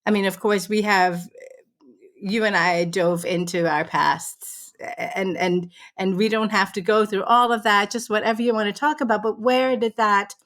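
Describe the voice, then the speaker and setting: Woman, interview host, enthusiastic, affirming, mid-range pitch, casual. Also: fairly even intonation, moderate pace, a bit bright. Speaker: podcast host.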